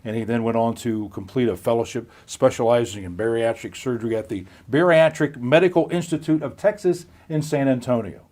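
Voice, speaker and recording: A man's voice: medium pitch, fairly quick, hardly any pauses, a little mellow. Chatty middle-aged male moderator. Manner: chatty, folksy, with frequent restarts and laughs. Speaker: moderator of a live health chat. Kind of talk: live-streamed hosted interview.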